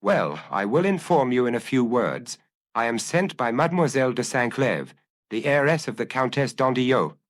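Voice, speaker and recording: A man's voice: mid-range voice, sing-song, moderate pace, voice pushed up, natural voice. Man voicing a dry, hard, commanding ex-inspector. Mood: brisk, self-assured. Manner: dry, hard, firm and precise. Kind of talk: audiobook character acting.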